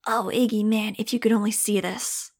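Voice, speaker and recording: A woman voicing an excited young boy, brash and cheerful in an audiobook reading. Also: medium pitch, moderate pace, lightly acted. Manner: whooping and upbeat.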